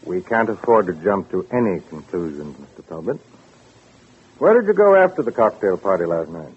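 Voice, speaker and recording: Old radio homicide cop, lowish slightly husky voice, dry and official. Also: male voice, sing-song, moderate pace, exaggerated voice. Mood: probing, official. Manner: terse, probing, official. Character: dry, businesslike male homicide police lieutenant. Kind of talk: 1940s radio drama acting. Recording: old radio recording, narrow band.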